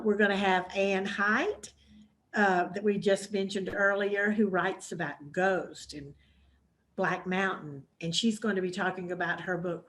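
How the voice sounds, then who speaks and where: Chatty Southern woman hosting a book talk. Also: mid-range voice. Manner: chatty, with many fillers. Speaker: moderator of a women's book program. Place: hybrid in-person and Zoom event.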